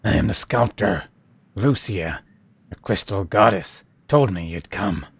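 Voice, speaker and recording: A man playing a solemn, reverent sculptor in a fantasy video game, speaking huskily and in a measured way. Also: on the low side, lively intonation, slightly rough, dry recording, heavily acted. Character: reverent, secretive sculptor devoted to a crystal goddess.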